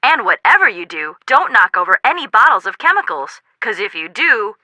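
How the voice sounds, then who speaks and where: A woman voicing a spirited, practical young sidekick in a video game, frank and brisk in her advice. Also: fairly high pitch, sing-song, sharp tone, no room sound, exaggerated voice.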